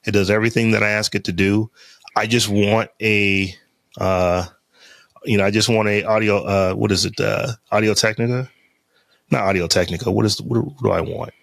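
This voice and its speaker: A man with a deep, lowish voice chats casually on his podcast, rambling and circling back with fillers as he goes. He talks close to the mic, and the sound is dry and free of room echo. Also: fairly even intonation, moderate pace, gravelly, a bit bright. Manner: rambling, loose talk with many fillers and restarts. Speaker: podcast co-host. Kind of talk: casual chat. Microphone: close studio mic on a podcast interface.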